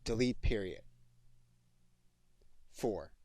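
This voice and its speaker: A man giving instructional software tutorial narration, speaking deliberately as a training instructor. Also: lowish voice, no room sound. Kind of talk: instructional screencast tutorial with dictated commands.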